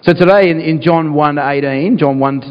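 A man with a croaky voice, earnest and pausing with fillers, praying and reading scripture as a pastor in a sermon. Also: on the high side. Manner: earnest, with frequent fillers and restarts. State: croaky, clearing his throat. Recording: dull sound, long church reverb.

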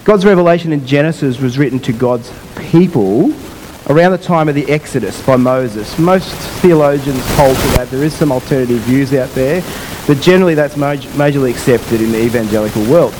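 Croaky-voiced male preacher. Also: medium pitch, big-room sound, noisy background. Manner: unhurried, repeats and rephrases himself. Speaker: pastor. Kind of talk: sermon.

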